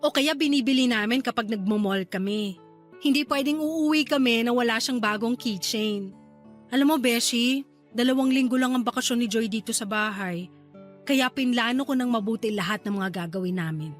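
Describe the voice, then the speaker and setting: An actress playing a devoted, proud and excitable aunt in an expressive radio drama style. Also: female voice, on the high side, moderate pace, soft background music, natural voice. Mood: excited, fond. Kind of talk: Tagalog radio drama acting.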